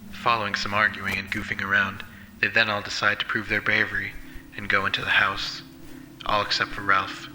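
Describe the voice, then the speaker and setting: A man playing a solemn, measured narrator of a scripted Halloween horror story. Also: lowish voice, completely flat delivery, bright, forceful tone, slight background noise, poor audio.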